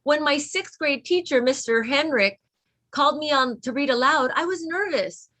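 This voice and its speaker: Female read-along leader with a high-pitched voice, encouraging young listeners and prompting them to join in. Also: lively intonation, normal speed, bright, forceful tone. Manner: encouraging, asks children to repeat. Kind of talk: reading a children's book aloud to pupils.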